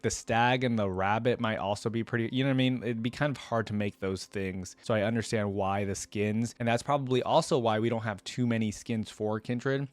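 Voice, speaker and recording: A man's voice: on the low side, no echo. A man hosting a reaction video, reading lore aloud and commenting casually as he goes.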